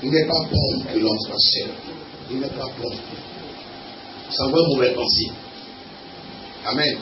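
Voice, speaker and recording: A man's voice: mid-range voice, unhurried. A man preaching a sermon as a prophet, with an Ivorian French accent, repeating phrases emphatically and quizzing the congregation. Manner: emphatic, repetitive, questions the crowd. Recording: roomy, distant, muffled, noisy.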